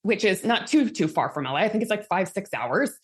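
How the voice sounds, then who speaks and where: A woman's voice: mid-range voice, lively intonation, fairly quick. A woman in her thirties, a coach leading a live session in an upbeat manner, her voice a little strained from talking a lot. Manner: upbeat, reads out audience comments. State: slightly strained voice, healing. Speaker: host and manifestation coach.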